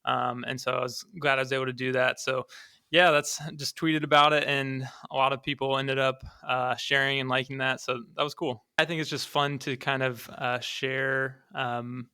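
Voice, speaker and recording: A man's voice: mid-range voice, level tone, normal speed, bright, forceful tone, clean tone, dry recording. A young man speaking as a podcast guest in a casual, conversational, easygoing and modest way. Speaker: podcast guest, startup founder. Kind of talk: casual conversational interview answers.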